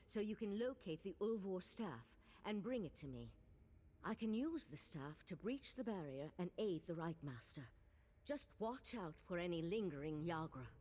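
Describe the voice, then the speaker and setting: An actress playing an earnest, resolute female mage in a fantasy game, explaining things in a measured, serious way. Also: fairly low pitch, a little mellow, slightly rough. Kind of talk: fantasy game dialogue acting.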